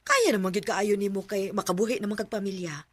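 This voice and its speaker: A woman playing a gentle, caring mother who coaxes and teases her son. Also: mid-range voice, fairly quick, no echo, close to an everyday voice. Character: caring middle-aged mother. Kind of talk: amateur radio drama acting.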